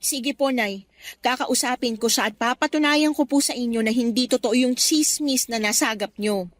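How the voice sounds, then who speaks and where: High-voiced loyal wife, played by a woman. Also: normal speed, dry recording, lightly acted. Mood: firm. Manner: pleading, earnest. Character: loyal middle-aged wife and daughter, trusting, defensive. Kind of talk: Tagalog radio drama acting.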